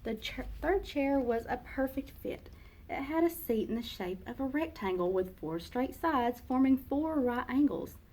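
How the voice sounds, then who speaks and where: A woman teacher reading a children's picture book aloud, slow and expressive for young listeners. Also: high-pitched.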